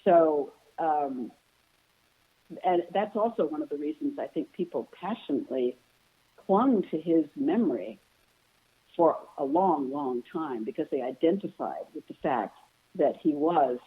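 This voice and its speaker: A woman biographer answering interview questions at length, reflective and measured, pausing with frequent ums as she thinks. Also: deep voice, expressive, slightly rough. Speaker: biographer and author guest. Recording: phone-like narrow sound.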